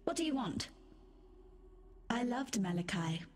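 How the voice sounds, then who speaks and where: A woman's voice: a little high, sing-song, measured pace, a little hiss or hum. A woman playing a mad, bitter, undying queen in a video game, muttering and repeating herself theatrically. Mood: conspiratorial, then mournful, bitter. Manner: unhinged, repetitive, theatrical, muttering.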